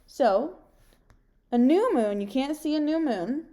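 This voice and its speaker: A young adult woman reading a picture book aloud like a teacher, pausing and putting questions to her young listeners. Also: high-pitched, expressive, warm tone. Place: home kitchen, indoors.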